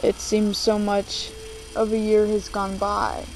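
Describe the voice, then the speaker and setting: A young American woman around twenty talking casually and confessionally in a video blog, halting and subdued, recorded on a laptop. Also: mid-range voice, monotone, darkish tone. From United States, born in New Jersey, moved to Virginia. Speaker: video blogger. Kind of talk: confessional casual vlog talk. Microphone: laptop microphone. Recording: noisy amateur laptop recording.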